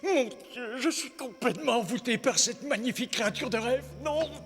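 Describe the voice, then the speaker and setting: An actor playing an obsessed, pious and cruel archdeacon in a theatrical cartoon dub, speaking in a sententious, fervent, dramatic way. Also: male voice, extremely high pitch, moderate pace, a bit bright. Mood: tormented, fervent. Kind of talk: theatrical animated film dub. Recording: long hall reverb, music underneath.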